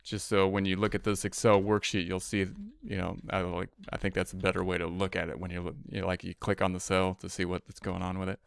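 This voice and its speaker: A man's voice: deep voice, level tone, a little fast, creaky, hardly any pauses, smooth, no echo. A man giving an informal spreadsheet tutorial as an instructor, thinking aloud step by step and often repeating himself. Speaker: accounting instructor.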